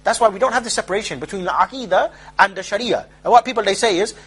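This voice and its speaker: A man giving an informal street-style lecture as a preacher, rapid and emphatic with mocking asides. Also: sits high, a bit bright, husky.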